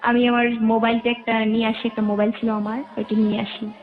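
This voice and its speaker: A Bangladeshi woman recounts her own life as a guest, in a voice on the high side. She narrates in detail and quotes remembered conversations. The recording is thin and muffled, like a telephone. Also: little pitch movement, fast. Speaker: interview guest. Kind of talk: personal life storytelling. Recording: muffled, narrow phone-like band.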